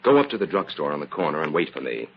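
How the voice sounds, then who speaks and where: An actor playing a confident, cool-headed investigator in an old-time radio crime drama. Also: male voice, extremely low pitch, very animated, fast talker, exaggerated voice. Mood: calm, decisive. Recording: dull, noisy old radio recording.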